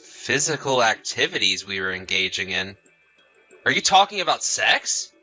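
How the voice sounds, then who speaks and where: A man's voice: mid-range voice, very animated, moderate pace, bright, forceful tone, soft background music, rough recording. A man playing an anxious, trusting husband in a scripted audio story, apologetic and full of questions. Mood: shocked disbelief. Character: anxious, trusting husband often away on business.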